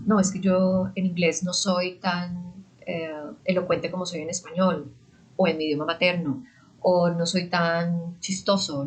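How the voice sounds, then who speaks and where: A woman hosting an interview podcast, asking questions in a measured, careful way and sharing her own experience. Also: on the low side, rough recording. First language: Spanish. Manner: measured, careful, uses fillers. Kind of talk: interview questions with personal anecdotes.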